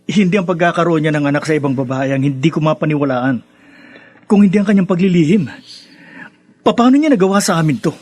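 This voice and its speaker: Man acting a hurt grown son, highish voice. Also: fast talker, darkish tone, lightly acted. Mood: stunned, hurt. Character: hurt, reproachful adult son in family drama. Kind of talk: radio drama acting.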